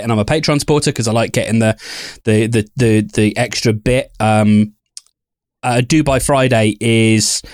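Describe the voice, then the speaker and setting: A man chats casually as a podcast co-host in a dry, close-sounding recording. His voice sits at a medium pitch, and he often backs up to reword what he is saying. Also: no echo.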